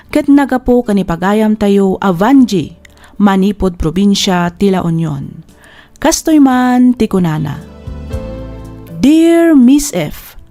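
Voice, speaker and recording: Female drama narrator, mid-pitched and mellow, Ilocano accent, scripted letter reading over faint music.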